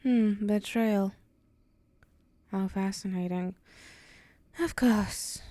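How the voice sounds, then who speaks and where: Woman playing a condescending female demon for ASMR roleplay: unhurried, dark and mellow, teasing menace. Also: mid-range voice, dry recording. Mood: disdainful, impatient. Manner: condescending, teasing, deliberate, with breathy whispered moments.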